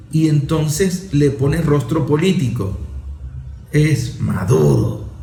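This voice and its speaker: A man's voice: fairly high pitch, warm tone, echoey room. A man giving an emphatic opinion monologue, listing his points and asking rhetorical questions.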